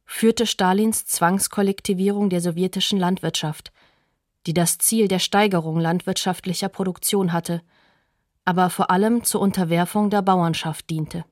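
A woman reading scripted radio documentary narration in a sober, factual, measured manner. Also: lowish voice, steady tone, darkish tone, no room sound, clean studio sound.

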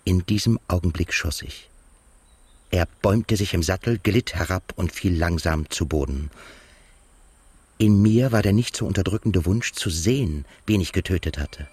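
A male actor playing a melancholy, haunted émigré narrator in a literary radio drama, speaking in a measured, reflective way. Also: low voice, a little mellow, slightly rough, no echo. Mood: somber, reflective.

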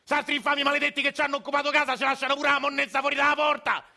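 An actor playing a cranky, foul-mouthed old grandfather who yells in a Roman accent. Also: very high voice, completely flat delivery, very fast, vocal fry, voice pushed up, full character voice. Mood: bitter complaint. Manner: yelling, crude, bitter complaining. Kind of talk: radio drama acting.

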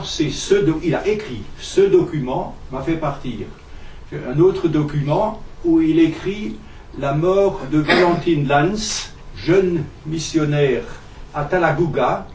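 A man's voice: on the high side, a little mellow, slight background noise. A man in the audience at a public talk, answering in a precise way and quoting documents. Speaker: audience member. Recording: echoey room, speaker far from mic.

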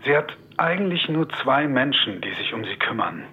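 A man's voice: medium pitch, sing-song, normal speed, close to an everyday voice. A man playing a frank, businesslike estate adviser in a radio drama, matter-of-fact and formal. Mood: frank, concerned. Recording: muffled, band-limited, faint noise.

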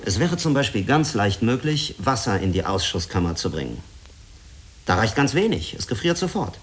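Sober spaceship commander. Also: male voice, fairly low pitch, very animated, normal speed. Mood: reflective, insistent. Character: male spaceship commander, sober, self-critical, stern under pressure. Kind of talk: German radio drama acting.

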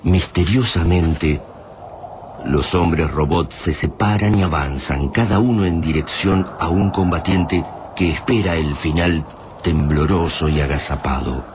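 A man's voice: low-pitched, a little slow. A man with an Argentine accent giving dramatic scripted narration for a radio drama. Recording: clearly noisy radio drama track.

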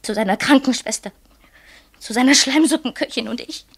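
An actress in a German radio play playing a desperate, bitter young wife trapped in a loveless marriage, intense, pleading and reproachful. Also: female voice, on the high side, brisk, vocal fry, a bit bright, slightly rough, full character voice.

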